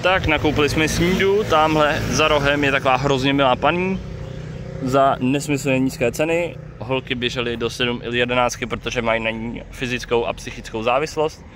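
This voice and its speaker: A man's voice: mid-range voice, fairly even intonation. A man narrating a casual travel vlog outdoors, recorded on a handheld phone. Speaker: travel vlogger. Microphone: handheld phone behind the camera. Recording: noisy, distant-sounding outdoor phone recording.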